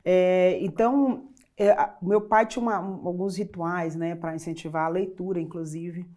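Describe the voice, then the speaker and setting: A woman's voice: lowish voice, steady tone, normal speed, mellow. A middle-aged woman from Bahia, talking as an interview guest in an earnest, reflective way. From Bahia, Brazil. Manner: reflective, earnest, with fillers and restarts. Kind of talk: interview conversation.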